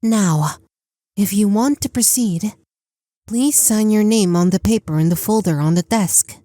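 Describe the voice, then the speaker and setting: A woman playing a mysterious small boy in a fan audio drama, speaking in a calm, composed, matter-of-fact way. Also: medium pitch, unhurried, dry recording, close to an everyday voice. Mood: calm, cryptic.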